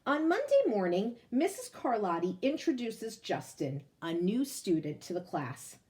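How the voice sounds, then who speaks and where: A woman's voice: mid-range voice, big pitch swings, measured pace, a little mellow. A woman reading a picture book aloud to children, explaining it and addressing young listeners directly as a teacher would. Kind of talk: expressive storybook read-aloud with asides to children.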